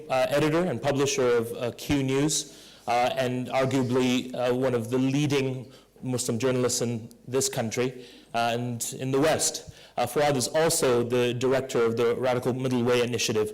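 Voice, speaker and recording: A man's voice: medium pitch, poor audio. A man chairing a panel discussion, introducing the next speaker with many hesitant fillers. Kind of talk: formal introduction.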